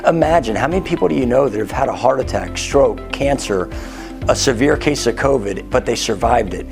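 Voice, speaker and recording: Persuasive man pitching over music. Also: lively intonation, a little fast, gravelly. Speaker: insurance agency leader. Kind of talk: promotional testimonial. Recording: background music.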